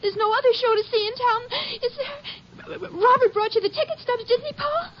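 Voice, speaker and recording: A woman's voice: very high voice, moderate pace. A woman acting a nervous, evasive teenage daughter in 1950s radio drama, speaking in a timid, hesitant way. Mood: nervous, defensive. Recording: dull, noisy old radio recording.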